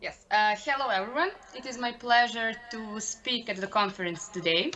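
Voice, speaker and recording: A woman of about twenty with a Serbian accent, giving a careful, halting presentation over a video call as a youth portal editor. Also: on the high side, bright, forceful tone, lo-fi sound, a little hiss or hum. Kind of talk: conference presentation.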